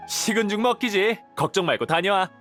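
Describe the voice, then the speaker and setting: A man's voice: sits high, fairly quick, a bit bright, faint music behind. An actor playing a playful, cheeky young man in a video game, teasing and breezy in manner. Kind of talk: video game dialogue acting.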